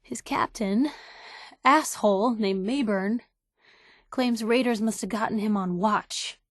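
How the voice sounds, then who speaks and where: A woman's voice: medium pitch, slow pace, no echo. Young crusading female reporter, game companion: brash, wry, wisecracking, dry close recording. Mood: candid. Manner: brash, sardonic, conversational. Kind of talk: acted video game dialogue.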